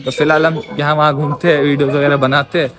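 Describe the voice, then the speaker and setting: A man's voice: a little high, level tone, quick pace. Young man chatting through a station tour. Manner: chatty, guiding viewers step by step. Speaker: travel vlogger. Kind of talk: walking tour narration. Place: outdoors at a railway station.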